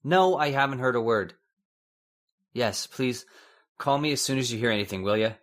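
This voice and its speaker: A woman's voice: extremely low pitch, moderate pace. A woman playing a worried, agitated husband in an old-time radio horror play, urgent and earnest with stammers. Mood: anxious.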